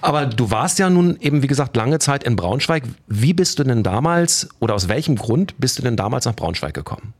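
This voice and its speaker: A man's voice: mid-range voice, big pitch swings, a little fast, hardly any pauses. A man hosting a casual radio interview in a studio, chatty and often stumbling over his own restarts. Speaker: radio host.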